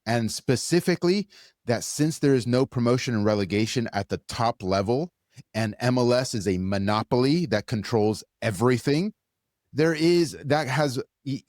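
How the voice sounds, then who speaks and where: A man's voice: mid-range voice, darkish tone, no room sound, clean studio sound. An American man hosting a conversational interview podcast, informal and outspoken in the way he talks. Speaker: podcast host.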